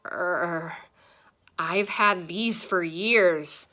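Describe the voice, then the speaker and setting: Woman as police officer, storybook character, grave, formal, measured, slow. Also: on the low side, sharp tone, heavily acted. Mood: shocked.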